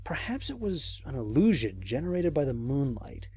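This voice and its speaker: An actor playing a cautious, observant male space explorer, recording mission logs in a measured, reflective way for an audio drama. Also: mid-range voice, big pitch swings, mellow, husky, no room sound. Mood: reflective, puzzled. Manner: measured, reflective, formal diction with deliberate pauses. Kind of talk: scripted audio drama monologue.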